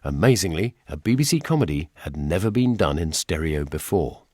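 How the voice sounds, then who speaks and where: A man's voice: low-pitched, sing-song, brisk, darkish tone, dry recording. A man with a British accent presenting a scripted radio documentary in a wry, dryly humorous way. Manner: wry, dry humour.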